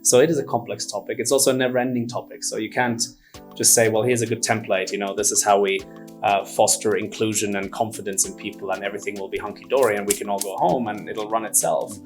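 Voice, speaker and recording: A man's voice: on the low side, flat delivery, quick pace, over music. Articulate man from Germany and Finland, explaining. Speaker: podcast guest, entrepreneur. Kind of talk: conversational interview answers.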